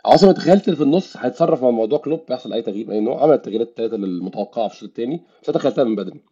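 A hoarse Egyptian man with a worn-out voice, hosting a casual football discussion in Egyptian Arabic. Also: mid-range voice, animated, racing, warm tone. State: hoarse, voice worn out after the match. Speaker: football podcast host. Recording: dull, slightly muffled microphone.

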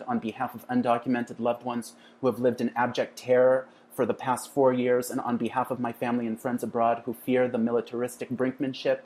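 Man voter, fired up, forceful statement, normal pitch. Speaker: interviewed voter. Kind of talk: impassioned statement.

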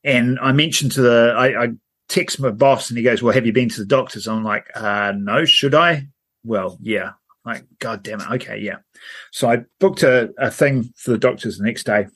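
A man, his voice croaky because he is ill. He speaks at a middle pitch and tells stories in a rambling, self-mocking way as a podcast co-host. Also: moderate pace, fairly bright tone. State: croaky from illness. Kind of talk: casual storytelling chat.

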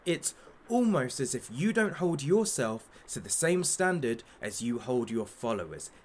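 An actor playing a menacing, sardonic talk show host in a satirical audio drama, with a theatrical, taunting manner. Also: male voice, medium pitch, very animated, measured pace. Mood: scornful, taunting.

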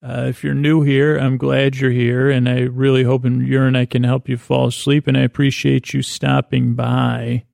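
Gravelly guy rambling on a sleep podcast, keeps losing his thread, pretty flat pitch, super dry sound. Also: dry recording. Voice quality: creaky, gravelly. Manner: halting, digressive, with repeated stumbles and self-interruptions. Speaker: podcast host. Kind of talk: rambling, meandering bedtime podcast monologue.

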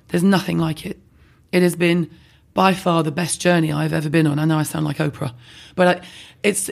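A husky-voiced woman speaking bluntly and animatedly as a podcast guest in a candid conversation. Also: low-pitched. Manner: blunt, animated, self-deprecating. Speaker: skincare blogger guest.

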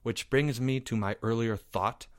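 A man voicing an accusing schoolboy in a story, interrogating in a deliberate, commanding way. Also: mid-range voice, dry recording, clean studio sound, voice pushed down, natural voice. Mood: cool, suspicious. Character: stern, accusing schoolboy playing detective.

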